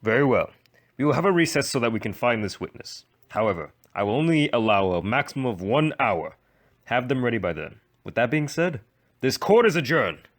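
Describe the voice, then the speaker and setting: A man's voice: mid-range voice, sing-song, brisk, a little hiss or hum. A man playing a stern but fair courtroom judge in an amateur audio drama, formal and authoritative. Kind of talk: fan audio drama acting.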